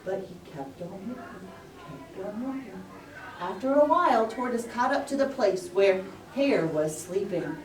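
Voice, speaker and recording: A woman's voice: medium pitch, animated, measured pace, darkish tone. A woman telling a story to young children, expressively and in simple phrases. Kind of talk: live children's story narration. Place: room with a pre-school class. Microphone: distant recording mic. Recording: echoey room, distant mic, faint noise.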